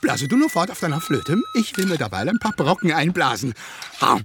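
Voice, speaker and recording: An actor in a German radio drama playing a cheeky, grumbling, simple birdcatcher, playful and mumbling with many interjections. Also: male voice, fairly high pitch, sing-song, a little fast, music in the background. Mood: hungry, content.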